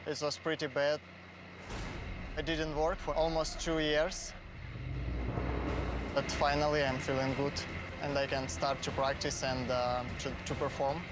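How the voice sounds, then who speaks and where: A man in his thirties from Ukraine, speaking English with a Ukrainian accent in an earnest, halting way as a contestant interview. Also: fairly high pitch, level tone, noisy background. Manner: earnest, halting non-native phrasing. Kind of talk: interview piece. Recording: music bed behind.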